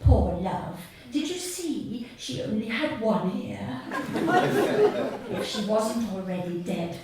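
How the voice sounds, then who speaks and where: A woman reading her comic short story live to an audience, in a deadpan, measured way with deliberate pauses. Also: medium pitch, over a noisy crowd. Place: theatre stage at a live reading event. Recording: echoey hall, distant mic, audience noise. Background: audience laughter.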